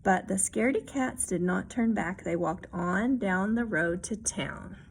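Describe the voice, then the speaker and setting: A woman reading a children's picture book aloud expressively, pausing to add explanations and check-in questions for young listeners. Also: mid-range voice, gravelly, a little hiss or hum, low-quality recording. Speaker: read-aloud storyteller.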